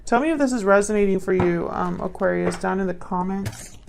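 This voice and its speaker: Lady doing a tarot reading, chatty and musing out loud, lots of vocal fry, normal pitch. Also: noisy background. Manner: musing, pausing, thinking aloud. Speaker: tarot reader. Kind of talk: casual intuitive card reading to viewers.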